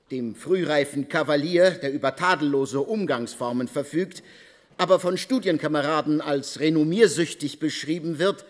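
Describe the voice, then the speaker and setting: An actor playing an insistent investigator in a German radio drama, speaking in a pointed, precise manner. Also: male voice, on the high side. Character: probing, insistent male investigator reciting case files.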